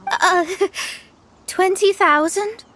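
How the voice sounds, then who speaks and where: A woman's voice: very high voice, extremely slow, fairly bright tone, full character voice. A woman playing a proud, formal young astrologer with theatrical delivery in a video game. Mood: feigned nonchalance. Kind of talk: video game character acting. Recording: game dialogue with music behind.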